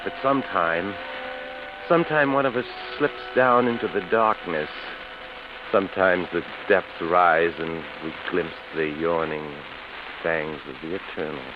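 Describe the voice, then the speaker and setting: A sleepless, morbid artist in a vintage radio chiller. His voice is very low, theatrical and halting, building by repetition into pleading agitation. The old recording is dull and clearly hissy. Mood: brooding, ominous. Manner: theatrical, halting, repeats words in mounting agitation. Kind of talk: golden-age radio horror acting.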